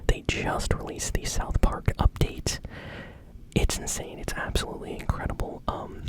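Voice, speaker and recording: Whispering ASMR gaming news host. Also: normal speed. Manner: whispering throughout.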